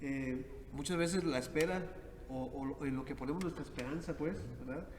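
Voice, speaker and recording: A man's voice: on the high side, moderate pace. A man, a priest hosting a reflective podcast conversation, who often ends his points with tag questions. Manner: thoughtful, many tag questions. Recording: echoey hall with background noise.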